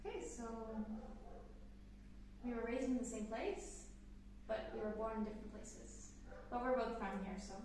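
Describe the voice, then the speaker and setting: A woman's voice: a little high, moderate pace, long pauses, a little mellow, heavy reverb, distant mic, slight background noise, rough recording. A woman in her twenties, from Canada, hosting a casual sit-down Q&A chat, upbeat and rambling with fillers. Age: 20s. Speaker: channel host.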